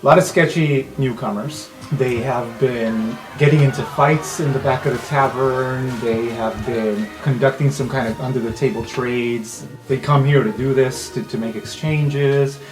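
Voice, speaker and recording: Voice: medium pitch, fairly even intonation, unhurried, few pauses, low-quality recording. A game master narrating an improvised tabletop role-playing game in an unscripted, conversational way. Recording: music behind the voice. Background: background music.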